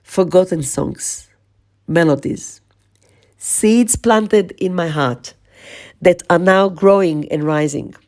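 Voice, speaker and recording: Slow, husky-toned woman podcast host. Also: lowish voice, darkish tone. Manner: slow, measured, lingering on lists. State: voice strained, losing her voice. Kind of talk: reflective spoken podcast monologue.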